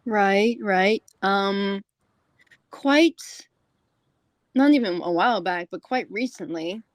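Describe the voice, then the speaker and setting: A woman's voice: mid-range voice, slow, no room sound, clean studio sound. A woman hosting a casual conversational interview, chatty and rambling in the way she talks. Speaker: podcast host and interviewer.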